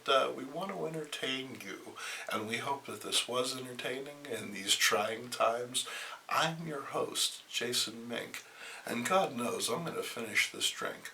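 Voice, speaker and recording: Guy reading a comic out loud, theatrical but chatty, takes his time, sitting a bit far from the mic. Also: medium pitch, vocal fry, a little hiss or hum. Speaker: host reading a comic aloud. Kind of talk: dramatic comic-book narration with casual asides.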